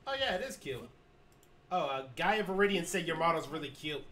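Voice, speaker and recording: A man with a high voice chats casually while gaming. His tone is bright and bold, and he keeps up a wry running commentary and grumbles about opponents and balance. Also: animated, brisk, a little hiss or hum. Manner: wry, complaining commentary on the game. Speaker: co-op gaming partner.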